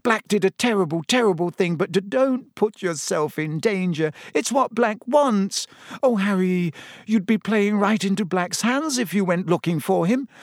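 Man voicing an anxious, pleading teenage schoolgirl, raised pitch. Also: sing-song, normal speed, a little mellow, dry recording, studio quality. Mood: tearful pleading. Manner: earnest, pleading. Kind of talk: audiobook character voice.

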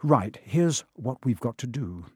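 A man's voice: mid-range voice, lively intonation, unhurried, slightly rough, no echo, clean studio sound. A man voicing a brave, headstrong schoolboy hero in a storybook reading, speaking with urgent insistence.

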